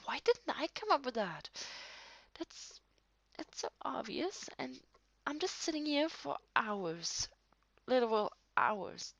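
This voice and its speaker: A woman improvising an intimate one-sided roleplay as a tired, affectionate writer talking to a partner late at night, halting and breathy, often dropping to a near whisper. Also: medium pitch, no echo. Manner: hesitant, halting, with frequent sighs and soft laughs. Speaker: audio roleplay performer.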